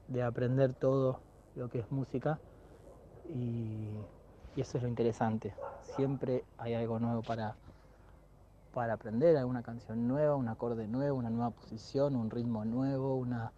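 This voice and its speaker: A man, a music teacher calmly answering interview questions and often repeating words as he thinks. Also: mid-range voice, lo-fi sound. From Argentina. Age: 30s.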